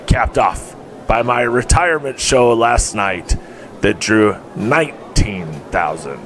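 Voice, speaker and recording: An actor playing an older, proud wrestling promoter who makes measured, formal speeches in an audio drama. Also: male voice, fairly low pitch, lively intonation, a bit bright, heavily acted. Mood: proud, assured.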